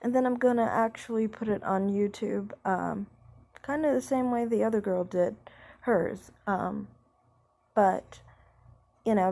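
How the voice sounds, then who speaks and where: Slow, rambling young woman singer. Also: mid-range voice, gravelly, dark tone. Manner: rambling, hesitant, many fillers; speaks slowly. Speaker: singer talking about her voice type. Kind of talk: casual vlog chat.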